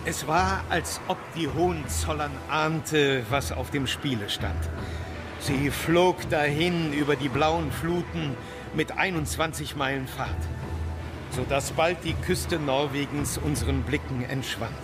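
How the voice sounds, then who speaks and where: Solemn, literary memoir narrator in audio drama: lightish pitch, slightly off-mic, music underneath. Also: male voice, unhurried, distant mic. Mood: earnest.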